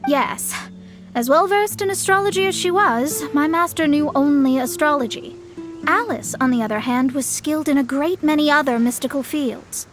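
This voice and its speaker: A woman's voice: high voice, normal speed, music in the background, lightly acted. A woman playing a proud young female astrologer in a video game, speaking in a haughty, formal, precise and easily exasperated way. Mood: formal, explaining. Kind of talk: video game character acting.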